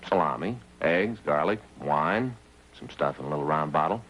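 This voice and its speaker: An actor playing an earnest, chatty American police detective sidekick in 1950s television drama style. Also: male voice, extremely low pitch, lively intonation, normal speed, fairly bright tone, full character voice, low-quality recording. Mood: earnest, eager. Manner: folksy, earnest, conversational. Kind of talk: 1950s police drama acting, light comic relief. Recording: 1950s TV soundtrack with faint hum.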